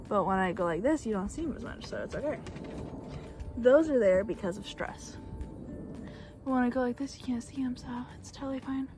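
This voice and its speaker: A woman's voice: a little high, background noise. Chatty woman, Bulgarian native speaker. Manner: chatty, rambling, self-deprecating. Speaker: beauty vlogger. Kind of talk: casual makeup tutorial chat. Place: outdoors on a back porch. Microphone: phone camera.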